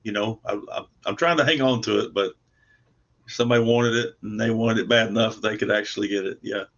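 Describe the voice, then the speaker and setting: A man's voice: lowish voice, steady tone, fairly quick, fairly bright tone. Earnest man on dull lo-fi audio. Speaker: comic book creator, podcast guest. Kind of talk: casual interview chat. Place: remote video call. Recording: dull, lo-fi video call audio.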